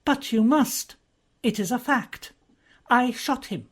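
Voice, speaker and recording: A woman's voice: fairly high pitch, slow pace, breathy edge, voice pushed up. A woman voicing a distressed young aristocratic woman who speaks in a faltering, pleading way.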